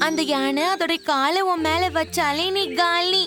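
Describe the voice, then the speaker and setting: Really squeaky worried little animal girl in a kids cartoon, careful and unsure, music under it. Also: measured pace. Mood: doubtful. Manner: anxious, doubtful. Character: timid, cautious female grass-eating animal, small and worried. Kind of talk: children's cartoon voice acting.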